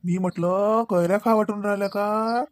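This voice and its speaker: A man's voice: sits high, steady tone, moderate pace, noisy background, lightly acted. A man voicing a teasing, affectionate young husband in a comedy cartoon, chatting in a rural Marathi accent. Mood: teasing, fond.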